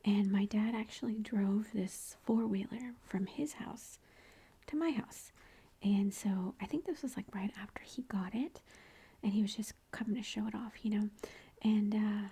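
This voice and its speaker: A mother whispering softly as she shows family photos, gushing and chatty, close to the mic at home. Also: medium pitch, unhurried, breathy edge. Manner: soft unvoiced whisper throughout, gushing and chatty. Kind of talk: whispered ASMR photo show-and-tell.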